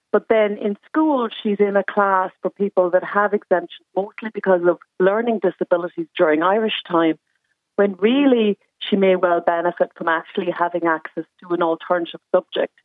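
A woman phones in to a radio show as a mother and lays out her situation earnestly, pausing on ums as she explains. Her voice sits at a middle pitch. It comes through a thin, tinny, dry phone line. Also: dry recording. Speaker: caller, mother. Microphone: telephone.

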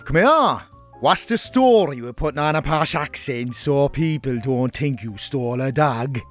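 A man's voice: medium pitch, expressive, a little slow, a bit bright, faint music behind. A man playing an indignant working-class lad with a strong Cork accent, telling a blunt, colloquial comic story. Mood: indignant, cheeky. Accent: Irish, working-class Cork. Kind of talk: comic scripted monologue.

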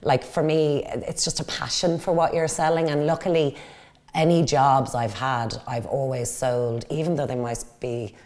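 Very low-voiced Dublin Irish woman. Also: fairly even intonation, moderate pace, darkish tone. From Dublin, Ireland. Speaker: interview guest, company executive. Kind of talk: conversational interview answers.

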